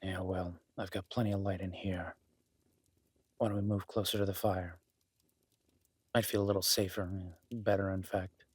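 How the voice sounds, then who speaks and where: A man playing a gruff, skeptical but caring cabin dweller in an intimate audio roleplay, blunt and sweary yet grudgingly reassuring. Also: low-pitched, little pitch movement, normal speed, pauses often, dry recording. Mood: apologetic, reassuring. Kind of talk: immersive audio roleplay, intimate naturalistic acting.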